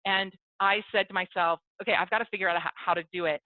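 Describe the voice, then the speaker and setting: A woman's voice: medium pitch, fairly even intonation, fast, dry recording. Chatty woman on a tinny call line. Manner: chatty and self-deprecating, acts out both sides of a conversation. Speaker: business networking speaker. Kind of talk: casual motivational talk. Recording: dry, tinny phone-like audio.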